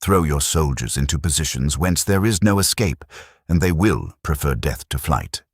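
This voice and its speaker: Very deep-voiced male audiobook narrator. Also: lively intonation, slightly rough, dry recording, clean studio sound. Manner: measured, declarative delivery in short deliberate phrases. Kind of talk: scripted audiobook narration of a classic treatise.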